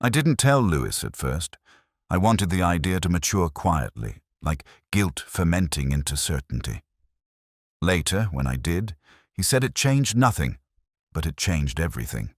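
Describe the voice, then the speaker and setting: An exceptionally deep male voice narrates a literary mystery in the first person. His delivery is brooding and measured, yet lively in its rise and fall. The sound is close and dry, free of room echo.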